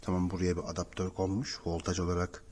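A man with a fairly low voice gives an informal on-site repair walkthrough. He explains things directly to the viewer, like a technician showing his work. The sound has long hallway reverb and clear background noise. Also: monotone, quick pace, darkish tone. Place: indoors, apartment building hallway by cable shafts.

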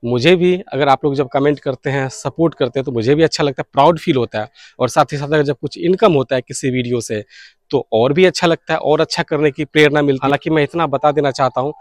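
A man's voice: a little high, quick pace, dry recording, studio quality. A man in his thirties talking earnestly and casually to his viewers, explaining things and mixing in English words. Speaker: radio hobbyist video blogger. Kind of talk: casual explanatory vlog to camera. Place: open balcony or rooftop outdoors beside fields. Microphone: clip-on lapel mic.

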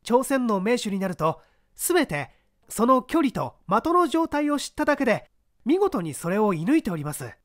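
A man's voice: extremely high pitch, very animated, normal speed, dry recording, studio quality, voice pushed up. Man voicing an eager young would-be detective. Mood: confident. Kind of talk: dramatic mystery reading.

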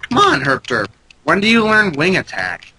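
A man's voice: high voice, huge pitch swings, normal speed, noisy background. A congested man giving joking, profane commentary while playing a video game with a friend. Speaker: let's play co-host. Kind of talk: casual gaming commentary. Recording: loud keyboard typing behind. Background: computer keyboard clicks.

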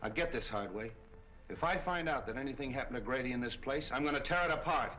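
A tough, brusque cop from an old crime film. He talks in blunt, hard-boiled bursts with lively pitch movement, on a dull 1940s soundtrack. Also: fast. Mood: stern, threatening. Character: gruff, blunt, tough male police captain.

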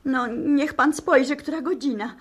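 A very high-pitched, distraught pleader. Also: female voice, a little slow. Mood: frantic, pleading. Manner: anxious, agitated, imploring. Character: distraught, pleading woman fearing a disaster. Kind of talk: 1960s Polish radio drama acting.

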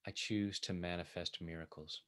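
A man with a low-pitched voice leads guided affirmations as a coach. He speaks deliberately, setting out one short phrase at a time so that each can be repeated. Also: fairly even intonation, bright, forceful tone, smooth. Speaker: tapping coach leading affirmations.

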